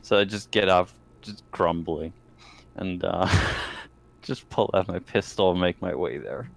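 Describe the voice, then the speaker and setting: A man's voice: sits low, unhurried, creaky, slight background noise. A man playing a tabletop roleplaying game, chatting casually and swearing freely.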